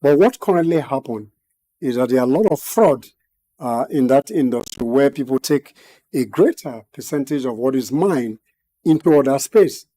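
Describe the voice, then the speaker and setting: A man gives measured expert commentary in a TV studio. His voice is mellow and dark, his phrasing formal and punctuated by frequent hesitations. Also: mid-range voice, expressive, low-quality recording. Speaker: guest analyst.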